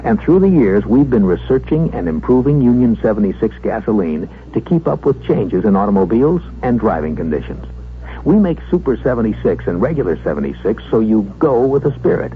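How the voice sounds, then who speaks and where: A man's voice: lowish voice, sing-song, brisk. A man delivering a scripted 1970s radio commercial in a polished sales style. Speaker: commercial announcer. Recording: tinny 1970s radio sound, faint hum.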